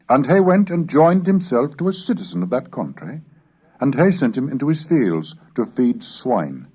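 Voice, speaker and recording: A man reading a scripted educational narration in a formal, measured, oratorical manner. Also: mid-range voice, a little mellow, breathy edge. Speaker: lecturer and reader. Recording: dull lo-fi cassette recording.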